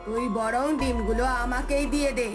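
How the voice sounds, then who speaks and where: A woman's voice: a little high, fairly even intonation, moderate pace, music in the background, close to an everyday voice. A woman voicing a wicked female demon in a fairy-tale cartoon, bullying and threatening in her manner. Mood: greedy, menacing. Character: wicked, menacing female demon hungry for eggs.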